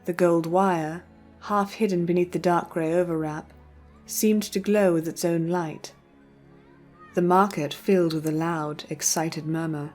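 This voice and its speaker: A woman's voice: lowish voice, fairly even intonation, measured pace, darkish tone. A woman reading scripted storybook narration as the narrator. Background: music.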